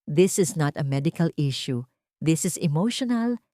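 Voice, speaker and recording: A Filipino-accented woman voicing a serious male family doctor in an audio story, speaking in a grave, measured way. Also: low voice, lively intonation, warm tone, no room sound, studio quality.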